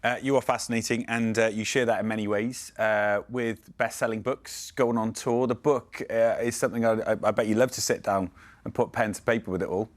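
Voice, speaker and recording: A man's voice: lowish voice, steady tone. A man with a cold interviewing a guest in a casual, jokey way, often stumbling and restarting his sentences. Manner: jokey, halting, many restarts. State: has a cold. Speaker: radio presenter, interviewer.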